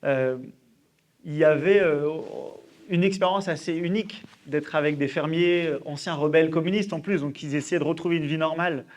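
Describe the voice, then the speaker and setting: A man in his early thirties with a fairly high voice speaks as a presenter at a conference. He tells his own story in an informal, halting manner, often posing questions to himself as he goes. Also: darkish tone. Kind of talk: personal testimony in a public talk.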